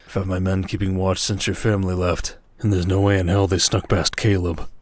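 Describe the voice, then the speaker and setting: A man playing a stern, protective merchant lord in a roleplay audio drama, speaking in a dry, measured, commanding way. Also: low voice, flat delivery, dry recording. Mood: intent, dry.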